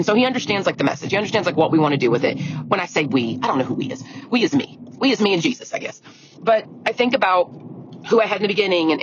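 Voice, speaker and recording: A middle-aged woman with a deep, scratchy voice hosting a solo podcast, chatty and earnest, often restarting her sentences. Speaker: podcast host. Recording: lo-fi audio with clear background noise.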